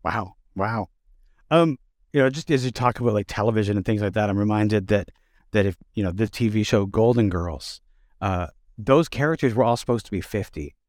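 Halting man interviewing, dry sound. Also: on the low side, no room sound. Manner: halting, repeats words while forming thoughts. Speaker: interviewer, host. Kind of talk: casual conversational interview.

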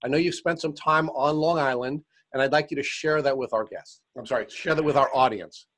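A man's voice: mid-range voice, a little fast, a bit bright, dry recording. A man speaks as the host of an interview program, with a mid-range voice and a close, dry sound. He presents in a prepared, scripted way and puts questions to a guest.

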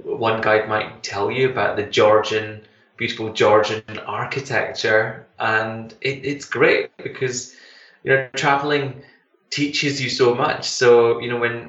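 A man's voice: mid-range voice, little pitch movement, a little slow, fairly bright tone, reverberant, highs cut off. A Scottish man from Glasgow, a tour manager talking enthusiastically in a casual interview, with many fillers and restarts. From Glasgow, Scotland.

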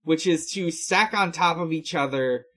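A man hosting a podcast, giving a casual recap in a halting, hesitant way. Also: a little high, a bit bright. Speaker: podcast host. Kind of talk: casual episode recap chat.

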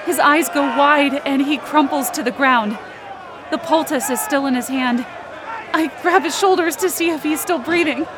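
Lady acting as a desperate high-voiced woman telling her survival story in present tense, urgent, noisy crowd behind. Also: normal speed, lightly acted. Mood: alarmed, urgent. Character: anxious, desperate woman narrating her own survival ordeal. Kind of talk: first-person present-tense audio drama narration. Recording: noisy outdoor crowd sound effects behind.

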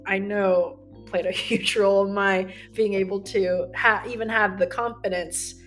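A woman's voice: mid-range voice, fairly even intonation, a little slow, fairly bright tone, faint music behind. Earnest young woman, reflective. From United States. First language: Korean. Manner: reflective, earnest, with restarts and quoted inner thoughts. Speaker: former student body president. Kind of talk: recorded interview recollection.

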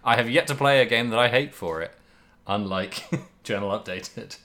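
A man's voice: medium pitch, moderate pace, bright, forceful tone. A man co-hosting a podcast, chatting casually about video games. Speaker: podcast co-host.

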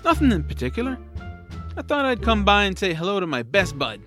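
A man playing a friendly, boyish young hero, a sensible best friend, earnest and animated, in a family audio drama. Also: high-pitched, fairly quick, soft background music, exaggerated voice. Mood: friendly, curious.

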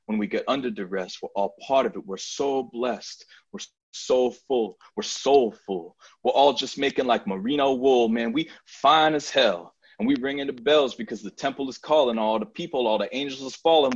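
A man's voice: mid-range voice, husky, lo-fi sound. A man freestyle rapping in a rhythmic rhyming flow, then talking earnestly and thoughtfully as a guest artist. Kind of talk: freestyle rap then reflective talk.

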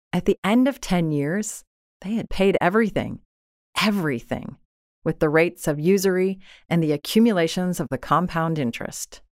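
A woman narrating a literary short story in a measured, deliberate, expressive way. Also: fairly low pitch, dry recording, clean studio sound. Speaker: audiobook narrator.

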